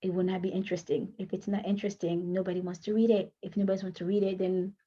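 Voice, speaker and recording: A woman's voice: mid-range voice, flat delivery, quick pace, warm tone, breathy edge, lo-fi sound. A woman whose first language is French, coaching writers in a chatty, self-correcting way, laughing between points. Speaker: writing coach. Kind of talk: casual teaching talk to camera.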